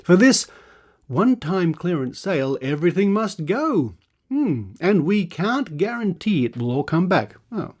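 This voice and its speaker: A man's voice: sits high, big pitch swings, measured pace, darkish tone. A man hosting a podcast, reading out adverts and adding dry, sarcastic asides. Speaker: podcast host.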